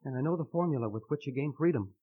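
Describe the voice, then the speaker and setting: An actor playing an earnest, lovesick young man who speaks in halting, poetic, fervent phrases in a melodramatic radio drama. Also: medium pitch, lively intonation, close to an everyday voice. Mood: brooding, earnest. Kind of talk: melodramatic old-time radio acting. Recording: muffled vintage radio recording.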